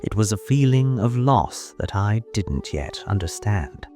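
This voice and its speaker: A man playing a wistful young noble heir, telling his story in measured, formal first-person narration for an audio drama. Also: on the low side, very animated, warm tone. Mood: wistful, regretful. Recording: music under the voice. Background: music.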